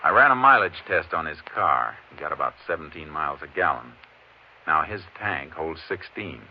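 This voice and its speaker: An actor playing a steady, methodical Texas Ranger investigator in a terse, measured way, in 1950s radio drama style. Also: male voice, a bass voice. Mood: matter-of-fact, assured. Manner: terse, measured, matter-of-fact. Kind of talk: 1950s radio western detective drama acting. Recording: dull, noisy old 1950s radio recording.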